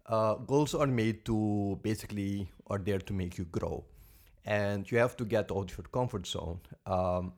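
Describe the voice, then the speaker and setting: A Dutch man co-hosts a podcast in English, speaking in a fairly low voice. He explains his points earnestly and steadily, often saying "basically". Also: darkish tone. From Netherlands. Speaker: podcast co-host. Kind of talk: casual podcast talk.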